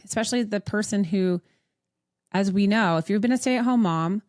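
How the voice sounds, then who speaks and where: A woman's voice: medium pitch, moderate pace, darkish tone, no room sound, clean studio sound. A woman from Montreal with a Canadian accent, hosting a casual advice talk in a blunt, sweary, emphatic manner. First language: English. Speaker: podcast host.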